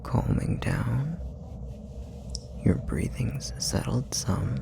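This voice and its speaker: A man voicing a possessive, manipulative demon in an intimate ASMR roleplay, whispering with a gravelly fry in a deliberate, taunting way. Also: mid-range voice, lively intonation, background noise, full character voice. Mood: soothing, tender. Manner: slow, deliberate, taunting, condescending. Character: possessive, manipulative male puppetry demon, coldly menacing.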